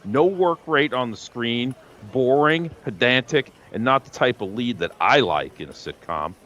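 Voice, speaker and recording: Casting: nitpicking critic played by a man, middle pitch, lively inflection, pompous, slightly muffled audio comedy. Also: measured pace. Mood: dismissive. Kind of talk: comedic audio play acting.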